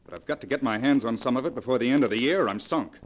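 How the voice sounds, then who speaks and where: An actor playing a scheming, debt-ridden gambler nephew in 1940s radio mystery style, with insinuating, deliberate delivery. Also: male voice, medium pitch, lightly acted. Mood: pressing, desperate. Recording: noisy old 1940s radio recording.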